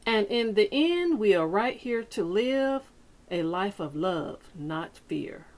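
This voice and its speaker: A woman reading a picture book aloud to children like a teacher, with deliberate, dramatic phrasing and pauses. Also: mid-range voice, sing-song. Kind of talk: expressive children's read-aloud.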